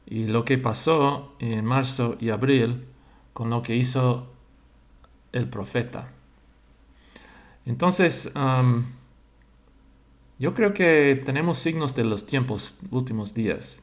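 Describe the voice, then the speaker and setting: A man, a church member slowly sharing his religious thoughts and pausing to search for words in a language he learned later. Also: medium pitch. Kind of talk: slow personal religious monologue.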